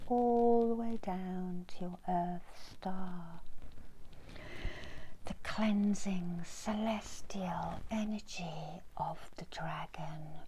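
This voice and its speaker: A middle-aged woman leads a healing meditation in ASMR style. Her voice is mellow and breathy, often dropping into a whisper, and she speaks extremely slowly with long, soothing pauses. There is faint noise behind her. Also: mid-range voice, low-quality recording. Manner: soft, slow, soothing delivery with long pauses. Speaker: reiki healer and meditation guide. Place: small indoor room.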